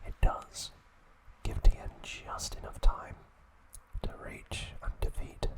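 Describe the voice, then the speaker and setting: A man hosting ASMR, whispering a recap in short, hushed phrases with pauses between them. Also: poor audio. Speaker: ASMR video host.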